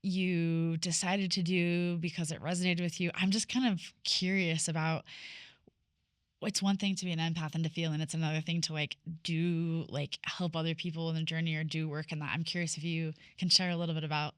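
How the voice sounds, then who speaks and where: Curious low-voiced woman host. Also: level tone, brisk, clear, resonant tone, dry recording, clean studio sound. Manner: curious, asks long open-ended questions. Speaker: podcast host. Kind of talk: conversational interview.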